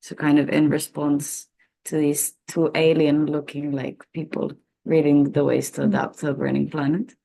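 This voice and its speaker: A woman's voice: low-pitched, flat delivery, normal speed, creaky, mellow. A woman from Serbia, guiding a seminar audience through a workshop activity with frequent "um" fillers, heard over a video call. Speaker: workshop facilitator. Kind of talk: leading a workshop.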